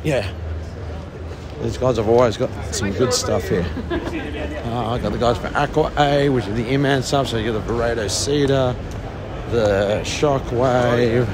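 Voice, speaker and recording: A man's voice: mid-range voice, unhurried, a crowd in the background. A man with an Australian accent, casually narrating a walking tour of a trade show, chatty and offhand as he points things out. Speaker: vlogger touring a trade show. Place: large indoor convention hall, trade show floor. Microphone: handheld phone camera, filming as he walks. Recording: noisy convention hall on a phone.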